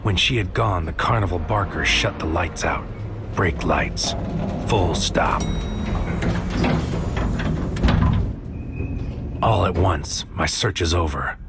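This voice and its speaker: An actor narrating as a bitter psychic soldier, delivering poetic, clipped noir lines in a brooding manner. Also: male voice, deep voice, measured pace, vocal fry, loud music behind the voice. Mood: bleak. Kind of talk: noir spoken-word scripted narration.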